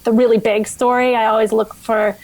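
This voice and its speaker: A woman's voice: a little high, level tone, fairly quick, darkish tone, no room sound. A middle-aged American woman from Virginia, a science writer telling her story as a podcast guest, thoughtful and prone to restarting sentences. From Virginia, USA. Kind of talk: casual interview answers.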